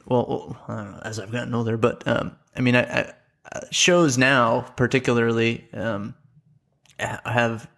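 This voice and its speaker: Guy hosting a chatty podcast, kinda rambly and halting, bit of gravel in the voice. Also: mid-range voice, fairly bright tone. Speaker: podcast host. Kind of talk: casual podcast chat.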